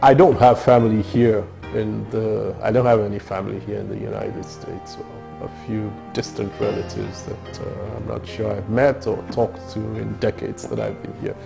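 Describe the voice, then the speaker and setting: Gravelly man, hesitant and thoughtful. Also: lowish voice, little pitch movement, normal speed, hardly any pauses, music in the background. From Nigeria. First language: Yoruba. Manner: thoughtful, hesitant, many fillers and restarts. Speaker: interviewee. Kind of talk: oral history interview.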